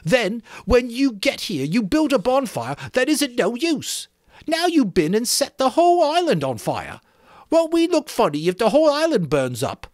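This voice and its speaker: A shrill, high-pitched schoolboy outcast in an audiobook. He whines and protests indignantly, scolding the others, his pitch leaping as panic creeps in. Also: huge pitch swings, normal speed, no room sound, clean studio sound, exaggerated voice. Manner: shrill, whining, protesting, gasping delivery. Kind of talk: dramatic audiobook reading of a novel.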